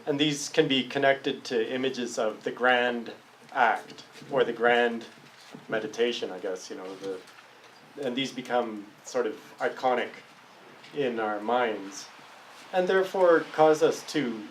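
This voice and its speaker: A man's voice: medium pitch, slow pace, slight background noise, low-quality recording. A man leading a public philosophy discussion, speaking thoughtfully and searchingly, often restarting his phrases. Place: public café discussion room.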